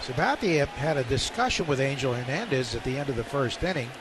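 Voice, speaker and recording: A man's voice: medium pitch, expressive, brisk. An American man giving live radio commentary on a baseball game. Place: outdoor ballpark broadcast booth. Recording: noisy live ballpark radio broadcast.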